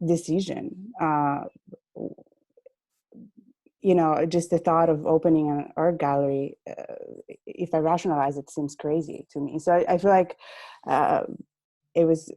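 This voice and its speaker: A woman's voice: low voice, fairly even intonation, measured pace, long pauses, dark tone, no room sound. A woman from Italy, a gallery owner and artist answering interview questions hesitantly on a video call. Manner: hesitant, many fillers.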